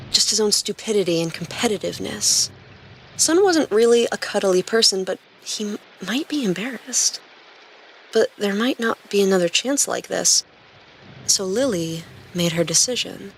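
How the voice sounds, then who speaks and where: A woman's voice: mid-range voice, gravelly, slight background noise, poor audio. A woman reading scripted story narration as a podcast narrator and host.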